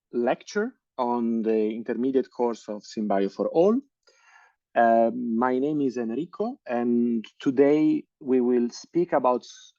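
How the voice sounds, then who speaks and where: A man in his thirties with an Italian accent lectures over a video call. His tone is mellow and somewhat dark. He hesitates often and restarts sentences. The sound is dry and a little muffled. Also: mid-range voice, no room sound. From Italy. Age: 30s. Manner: hesitant, many fillers and restarts. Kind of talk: online lecture.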